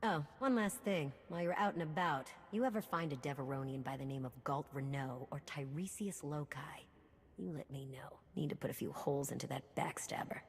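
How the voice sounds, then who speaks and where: An actress playing a wry, cocky smuggler in a video game, talking in a folksy, sardonic way. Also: female voice, low-pitched, fairly quick, slightly rough. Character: brash, wry female smuggler running an underworld supply chain. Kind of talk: scripted video game dialogue. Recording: reverberant hall with background hum.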